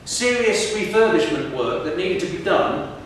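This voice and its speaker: A middle-aged professor gives a lively public lecture, putting questions to the students in front of him. His voice sits fairly high for a man. He is heard from a distance in a large hall with long, booming reverb. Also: measured pace, slight background noise. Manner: lively, engages the audience with questions. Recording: echoey hall recorded from far off.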